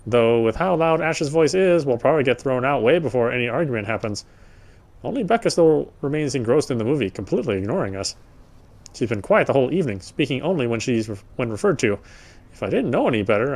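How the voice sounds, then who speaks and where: A man's voice: medium pitch, expressive, fast, darkish tone. A man doing a let's play, reading game text aloud and adding casual, sardonic commentary. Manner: sardonic, informal. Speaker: let's player.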